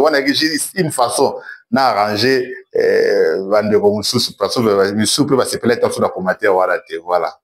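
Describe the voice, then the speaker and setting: Congolese man, declamatory show host. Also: fairly low pitch. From Democratic Republic of the Congo. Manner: declamatory, emphatic repetition. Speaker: online show host, commentator. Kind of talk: animated political commentary to camera, mixing French and Lingala.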